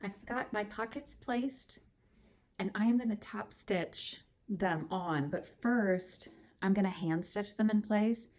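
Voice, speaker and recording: A woman's voice: mid-range voice, takes it slowly, a little mellow. A woman talking casually to her viewers while she sews, calling them "my darlings" and explaining her progress. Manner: chatty, addresses viewers as "my darlings". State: croaky from a cold. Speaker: sewing vlogger. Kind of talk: casual sewing vlog talk to viewers.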